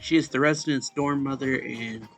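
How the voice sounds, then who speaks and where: Man, casual read-aloud narration, mid-pitched, some vocal fry, informal delivery, music in the background. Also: steady tone, normal speed. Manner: informal, stumbles and corrects misreadings. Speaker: let's play host reading a visual novel aloud.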